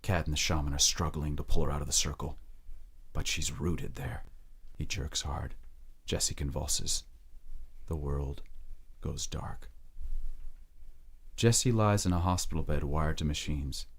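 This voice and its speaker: Casting: male drama narrator, extremely low raspy voice, wide dynamic pitch range, grave and measured.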